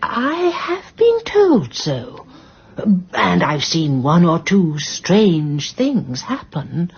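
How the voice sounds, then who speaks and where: An actress playing a prim, resourceful English spinster sleuth in a radio drama, speaking in a fussy, genteel and precise manner. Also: female voice, fairly low pitch, wildly swooping pitch, extremely slow, slightly rough, highs cut off, exaggerated voice. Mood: politely coy. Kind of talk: British radio drama acting.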